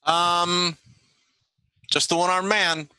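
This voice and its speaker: A man's voice: high voice, flat delivery, slow, sharp tone, clear, resonant tone, no room sound, pitched above the performer's own voice, heavily acted, poor audio. A man voicing a laid-back, teasing desert sheriff in a tabletop game. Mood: dry teasing.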